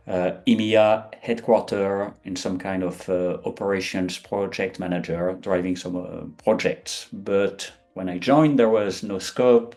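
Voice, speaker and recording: French man in his fifties, low voice. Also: a little mellow. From France. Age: 50s. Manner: hesitant, frequent fillers and restarts. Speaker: guest. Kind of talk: conversational interview.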